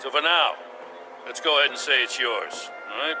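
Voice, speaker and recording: A man's voice: medium pitch, normal speed, close to an everyday voice, poor audio. An actor playing a weary middle-aged veteran policeman in a video game, plain-spoken and dry. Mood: businesslike. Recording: music underneath.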